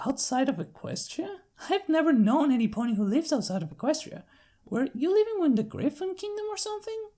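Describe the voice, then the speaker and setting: Young female cartoon pony played by a woman, high-pitched, lively, inquisitive and chatty. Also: fairly quick. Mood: incredulous. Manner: bubbly, inquisitive.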